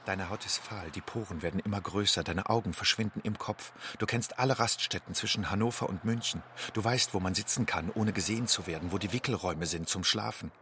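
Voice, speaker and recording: Radio play role: tired, watchful homeless man, lowish voice, measured poetic monologue. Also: a bit bright, slight background noise. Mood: weary, watchful. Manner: measured, brooding. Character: weary, watchful man living at motorway rest stops. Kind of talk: poetic second-person monologue.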